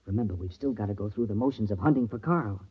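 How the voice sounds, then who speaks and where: A man's voice: fairly low pitch, quick pace, slightly rough. An actor playing an anxious, bossy young man hiding guilt, theatrical and insistent in old radio horror style. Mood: tense, dismissive. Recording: muffled old radio, crowd behind.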